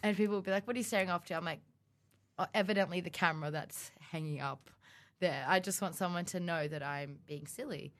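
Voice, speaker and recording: A woman's voice: lowish voice, a little fast. Giggly young woman chatting. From Australia. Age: young adult. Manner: giggly, rambling, animated storytelling. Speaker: student podcast co-host. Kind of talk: casual chat.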